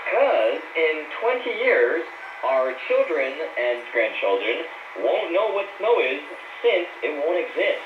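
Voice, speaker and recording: A man's voice: a little high, big pitch swings, takes it slowly. A man co-hosting a scripted language lesson on the radio, heard over shortwave. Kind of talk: scripted banter and repeat-after-me lesson. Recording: muffled echoey noisy shortwave radio.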